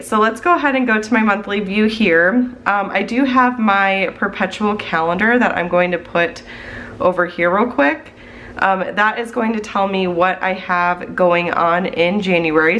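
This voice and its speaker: A woman's voice: mid-range voice, moderate pace, fairly bright tone. Congested woman chatting through a plan-with-me. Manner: chatty, thinking aloud as she works. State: congested from the flu. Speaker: planning channel host. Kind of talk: casual plan-with-me voiceover.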